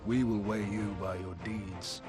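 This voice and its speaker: An actor playing a stern, guarded, terse warrior in a video game. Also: male voice, fairly low pitch, flat delivery, unhurried, close to an everyday voice. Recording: prominent music behind the voice.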